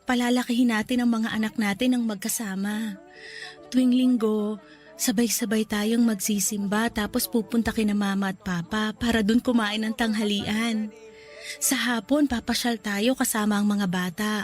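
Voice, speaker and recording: A woman playing a gravely ill young woman in a sentimental radio romance drama, loving, earnest and resigned to dying. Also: fairly high pitch, fairly even intonation, normal speed, close to an everyday voice. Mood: hopeful. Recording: music under the voice.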